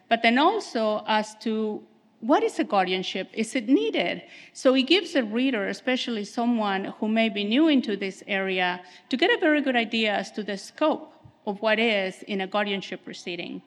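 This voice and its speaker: Soft-spoken woman presenting in a hall. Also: medium pitch, lively intonation, normal speed. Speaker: attorney giving a legal presentation. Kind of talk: conference presentation. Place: conference hall. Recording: reverberant hall.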